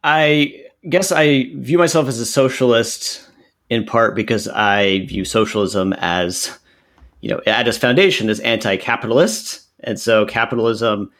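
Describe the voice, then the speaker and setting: A man in his thirties from Central Texas, a podcast guest who explains his views in an earnest, measured way. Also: lowish voice, fairly bright tone. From Central Texas, USA. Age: 30s. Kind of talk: podcast interview answers.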